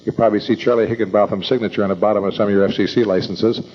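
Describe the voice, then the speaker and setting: An older American man hosting an evening meeting, introducing speakers informally in a halting, rambling, folksy way. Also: fairly low pitch, steady tone, breathy edge. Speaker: event host introducing speakers. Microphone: event microphone. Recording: dull lo-fi event recording.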